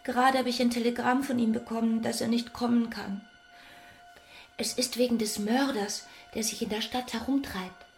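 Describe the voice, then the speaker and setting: An actress playing a trusting, open-hearted young daughter of the house in a radio drama. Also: female voice, on the high side, steady tone, normal speed, creaky. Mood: downcast. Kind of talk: German radio drama acting. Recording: music behind the voice.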